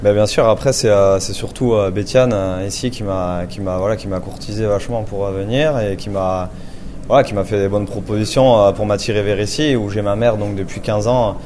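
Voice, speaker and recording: Young chef, low hesitant voice. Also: male voice, steady tone, brisk, darkish tone, slight background noise. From Paris. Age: 20s. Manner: hesitant, many fillers and restarts. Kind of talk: interview answers.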